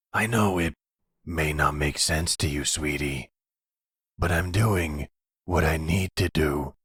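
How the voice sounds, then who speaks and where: A man's voice: fairly bright tone, no room sound, clean studio sound, exaggerated voice. A man playing a possessive, delusional middle-aged mafia boss and husband in an ASMR roleplay, speaking with condescending, menacing tenderness. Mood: defensive. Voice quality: very deep, husky.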